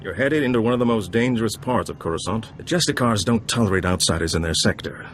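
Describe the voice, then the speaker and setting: An actor playing a suave, calm information broker in a video game, speaking in a measured, wry and courteous way. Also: male voice, lowish voice, slight background noise, natural voice. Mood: serious, measured.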